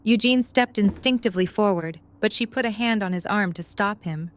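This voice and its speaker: A woman's voice: medium pitch, moderate pace. A woman narrating a scripted story as the narrator of an audio drama.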